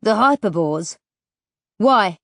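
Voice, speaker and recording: A woman's voice: medium pitch, expressive, slow pace, dry recording. A wary young woodsman with clipped, rustic phrasing, played by a woman narrator. He is an audiobook character, recorded very dry and close. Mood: startled.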